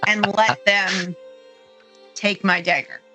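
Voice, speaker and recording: A woman's voice: medium pitch, fairly even intonation, slow, bright, forceful tone, faint music behind. A woman playing a tabletop game, speaking for her character in a hesitant way with many fillers. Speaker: player.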